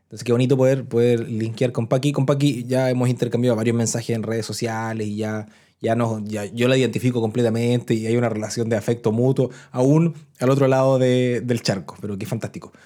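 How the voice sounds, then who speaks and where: A man's voice: medium pitch, little pitch movement, brisk, warm tone. A Chilean man hosting a podcast in a chatty, casual way, often restarting his phrases as he introduces listener messages. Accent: Chilean Spanish. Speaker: podcast host.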